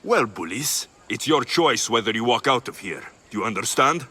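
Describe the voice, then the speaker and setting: An actor playing a firm, no-nonsense young Greek mercenary hero in a video game, blunt and commanding. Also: male voice, medium pitch, expressive, moderate pace, pushed and bright, breathy edge. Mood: threatening.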